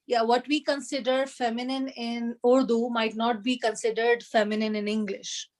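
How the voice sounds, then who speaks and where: A woman teaching an online Arabic class, explaining grammar and translation patiently, with frequent fillers and pauses. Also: fairly high pitch, little pitch movement, darkish tone.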